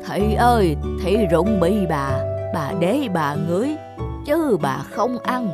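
A woman's voice: mid-range voice, big pitch swings, slow pace, over loud music. A woman voicing a kindly, humble old village woman in a homely, musing manner.

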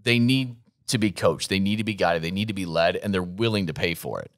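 A man's voice: low-pitched, animated, fairly quick, no room sound. A man in his forties co-hosting a business podcast, earnest and motivational, repeating his points, on a studio mic in a gym. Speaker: podcast co-host. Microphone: studio mic on boom arm, headphones.

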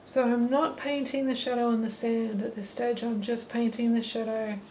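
A middle-aged woman narrating a watercolour lesson while she paints, thinking aloud in a relaxed way. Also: on the high side, normal speed, a little hiss or hum, rough recording. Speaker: watercolour teacher. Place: holiday apartment, at a table indoors. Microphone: camera mic above the table.

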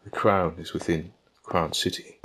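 A man playing a patient, gentle older king in an audio drama, with deliberate, formal diction. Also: low voice, steady tone, slight background noise. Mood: patient, explaining.

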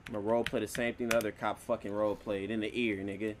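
A man's voice: lowish voice, flat delivery, fast, background noise. A man giving casual, profane, slangy streaming commentary on an online roleplay game, complaining sarcastically.

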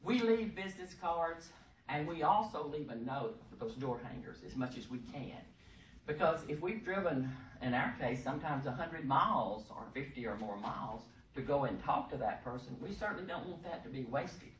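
A middle-aged woman, giving a loud, emphatic, folksy training talk from a podium in a conference room. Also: sits low, lively intonation, moderate pace. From Texas. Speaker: presenter at a workshop. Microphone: podium microphone, heard from across the room. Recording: echoey room, distant mic, faint hiss.

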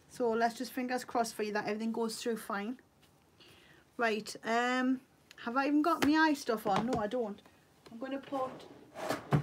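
Geordie woman in her thirties, slightly raised pitch, relaxed livestream chatter via webcam at home. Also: slight background noise. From North East England. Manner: chatty, reads viewer comments aloud, laughs easily. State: a bit tired. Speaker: beauty vlogger. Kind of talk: casual livestream chat. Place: bedroom at home, indoors. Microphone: webcam at desk.

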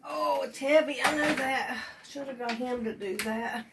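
A woman's voice: high voice, normal speed, gravelly, background noise. A woman in her sixties with an Appalachian accent, hosting a casual cooking vlog in her home kitchen, folksy and teasing. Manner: folksy, teasing, laughs often. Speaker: home cooking show host.

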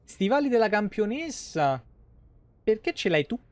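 High-pitched young man streaming. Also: sing-song, a little slow, a little mellow, no room sound. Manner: colloquial, slangy, swearing. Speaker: game streamer. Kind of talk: casual live game commentary. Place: small indoor room, webcam stream.

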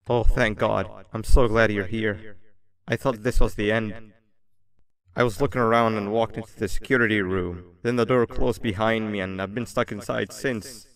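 A man voicing a scheming, falsely friendly devil mascot principal in a video game, theatrical and smooth-talking. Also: lowish voice, a bit bright.

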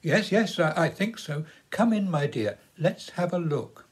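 Storybook recluse acted by an older man: English, gentle, kindly, patient and reassuring, fairly high voice. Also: expressive, unhurried, breathy edge. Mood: gentle, welcoming. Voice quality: quiet, gentle.

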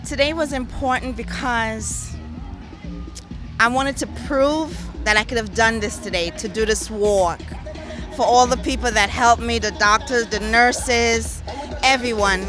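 A woman speaks heartfelt words in an outdoor street interview. Her voice sits fairly high. Music plays clearly under her. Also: fairly even intonation, unhurried. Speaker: cancer survivor at a walk.

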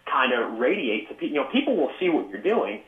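A man calls in to a radio interview and talks quickly, starting over and stumbling through his points. The sound is thin and tinny, like a phone call, and it echoes in the room. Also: medium pitch, big pitch swings. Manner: fast, halting with many fillers and restarts. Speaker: fitness author and guest. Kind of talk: casual interview chat. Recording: tinny roomy phone line.

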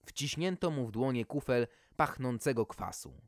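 Male narrator, fairy-tale reading, wry, animated pitch, unhurried, dry close sound. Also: no room sound. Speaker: storyteller.

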